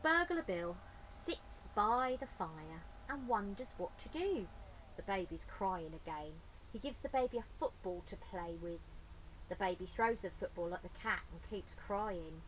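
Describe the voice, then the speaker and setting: A woman's voice: medium pitch, expressive, a little slow, a bit bright, poor audio. A woman reading a children's picture book aloud as storyteller, with playful asides to young listeners. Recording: faint background noise.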